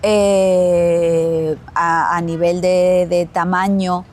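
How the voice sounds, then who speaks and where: Measured Andalusian-accented woman. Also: fairly low pitch, little pitch movement, a little mellow. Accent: Andalusian Spanish. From Spain. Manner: measured, thinks aloud with pauses and repeats. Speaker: glamping company CEO, guest. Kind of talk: conversational interview answers.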